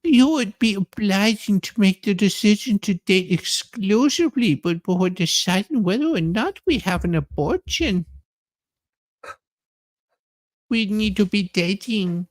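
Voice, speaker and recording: A woman's voice: mid-range voice, expressive, normal speed, few pauses, lightly acted. A woman voicing a folksy, sassy Southern courtroom witness in a theatrical, stammering comedic reading. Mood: pleading, absurd.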